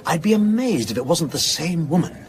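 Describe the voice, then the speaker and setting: An actor playing a pedantic male lecturer who relishes his own revelations, with theatrical emphasis and drawn-out pauses in a radio drama. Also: on the high side, sing-song. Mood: excited, revelatory. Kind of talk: naturalistic radio drama acting.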